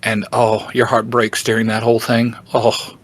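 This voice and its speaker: Male podcast guest, mid-pitched, enthusiastic and informal fan explaining fantasy lore, dry recording. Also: monotone, moderate pace, dry recording. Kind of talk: casual podcast chat, explaining story lore.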